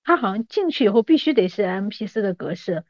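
A woman's voice: medium pitch, expressive, fast, darkish tone, dry recording. Female host in her thirties, slightly muffled sound. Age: 30s. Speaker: podcast host. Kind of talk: casual chat.

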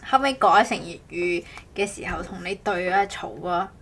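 A woman's voice: fairly low pitch, moderate pace, a bit bright, slightly rough. A woman with a Cantonese accent hosting a podcast in casual chat, rambling a little and rephrasing herself as she goes. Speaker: podcast host.

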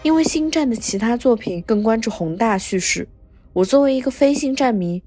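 A woman's voice: on the high side, expressive, a little fast, dark tone, soft background music. A woman hosting a podcast and narrating a scripted story recap.

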